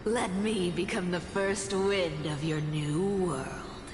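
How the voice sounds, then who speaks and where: A woman's voice: lowish voice, fairly even intonation, slow pace, background noise. A woman playing a sly, fearless feline rogue girl in an anime game, teasing and nonchalant. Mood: teasing, resolute. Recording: echoey reverb with music behind. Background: game music.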